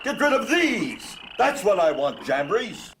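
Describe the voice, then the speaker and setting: A man playing a haughty, irritated Pharaoh, imperious and deliberate, in an audio drama. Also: sits high, very animated, heavily acted. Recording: noisy drama clip, croaking frogs.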